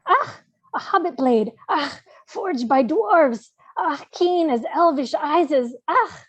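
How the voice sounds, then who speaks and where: A woman's voice: high voice, animated. A woman voicing a small, wretched, cunning creature with a whiny, hissing voice and broken grammar, at a fantasy script table read. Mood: cowed, eager. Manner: slow, fawning, broken grammar.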